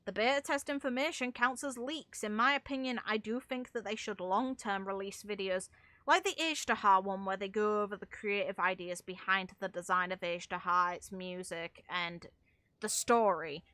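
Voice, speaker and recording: Young adult female gaming creator, forceful and blunt, off-the-cuff commentary, dry close recording. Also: medium pitch, normal speed, dry recording. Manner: blunt, swearing freely. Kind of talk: casual opinionated game commentary.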